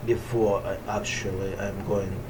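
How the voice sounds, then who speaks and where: A middle-aged man with a Russian accent talks casually to camera as a yoga teacher, meditative and halting as he searches for words. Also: deep voice, little pitch movement. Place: small indoor room at home ashram. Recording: distant mic, clearly noisy room sound.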